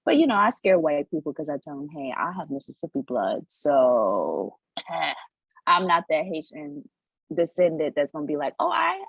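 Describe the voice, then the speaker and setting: Husky young woman, earnest podcast talk. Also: deep voice, huge pitch swings, normal speed, no echo, poor audio. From United States. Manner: earnest, repeats words while finding her point. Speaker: podcast co-host. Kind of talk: casual discussion.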